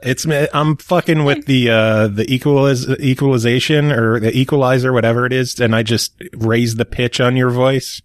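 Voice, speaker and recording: A man's voice: mid-range voice, fairly even intonation, normal speed, few pauses, no echo, clean studio sound. A man hosting a podcast in casual chat, teasing his co-hosts with crude jokes. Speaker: podcast host.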